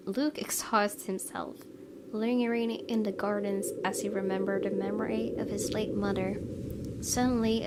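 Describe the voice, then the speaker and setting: A woman hosting a gaming video, reading a visual novel aloud expressively and slipping into casual asides. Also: mid-range voice. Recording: noisy background.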